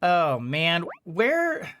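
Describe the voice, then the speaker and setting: A man giving casual let's play commentary, rambling and thinking out loud as he goes. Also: fairly high pitch, huge pitch swings, very slow, a little hiss or hum. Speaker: gaming video host.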